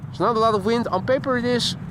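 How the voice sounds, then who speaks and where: A man's voice: very high voice, quick pace. A man hosting a casual live stream outdoors, chatting with viewers and greeting them by name. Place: outdoors near an airport. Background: faint outdoor traffic and voices.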